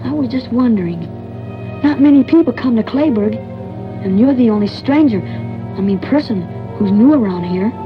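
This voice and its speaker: A woman's voice: on the high side, lively intonation, normal speed, natural voice. An actress playing a chatty, restless young boy in a 1940s radio thriller, with an eager, gossipy manner. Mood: cagey. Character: chatty, restless young boy who dodges his strict mother. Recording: muffled 1940s radio recording with music.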